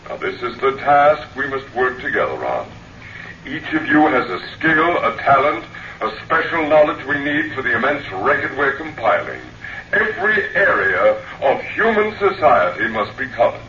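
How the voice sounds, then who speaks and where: An actor playing a grandiose, solemn and persuasive orator in an old-time radio drama. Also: male voice, medium pitch, very animated, normal speed, background noise, lightly acted. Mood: solemn, rousing. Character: grandiose male orator recruiting people for a vast archive. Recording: distant, echoey, muffled old radio recording.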